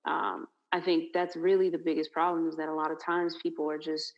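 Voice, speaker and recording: A woman's voice: deep voice, level tone, a little fast, dull audio. A woman co-hosting a casual discussion, talking in long rambling runs with many fillers. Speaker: podcast co-host.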